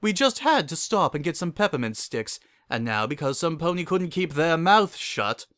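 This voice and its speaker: A man voicing a prim, sharp-tongued middle-aged unicorn noblewoman in a storybook, haughty and reproachful. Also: a little high, very animated, normal speed, no room sound, pitched above the performer's own voice.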